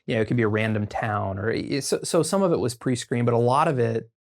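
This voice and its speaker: An American man chatting casually as a podcast guest, telling stories informally and haltingly as he thinks aloud. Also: medium pitch, lively intonation. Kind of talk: casual conversational storytelling.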